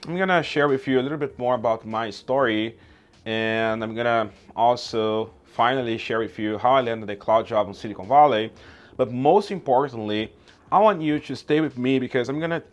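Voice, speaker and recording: A man from Brazil whose first language is Portuguese, hosting a YouTube channel and talking informally outdoors, often checking in with "okay?" and "right?". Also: medium pitch. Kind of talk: casual outdoor vlog talk.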